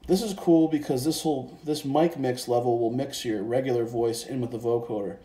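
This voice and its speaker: A man's voice: medium pitch, moderate pace, mellow. A man giving an informal, laid-back hands-on demonstration, thinking aloud as he goes. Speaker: presenter of a music gear tutorial. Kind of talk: casual hands-on software and synth demonstration. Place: indoors beside a synthesizer keyboard. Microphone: handheld phone and separate handheld microphone.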